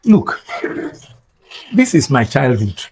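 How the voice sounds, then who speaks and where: A man's voice: medium pitch, sing-song, takes it slowly, creaky, darkish tone, slight background noise. An older man from Nigeria with a Nigerian accent and Yoruba as his first language, speaking as a guest scholar in an interview in an emphatic, lecturing way that repeats words for stress. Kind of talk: reflective interview talk.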